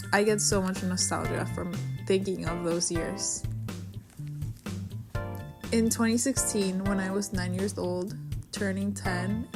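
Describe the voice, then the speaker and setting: A woman of twenty from Venezuela, a native Spanish speaker, cheerfully narrating her personal story for a vlog. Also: medium pitch. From Caracas, Venezuela. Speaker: vlogger. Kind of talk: personal narration over a drawing video. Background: music.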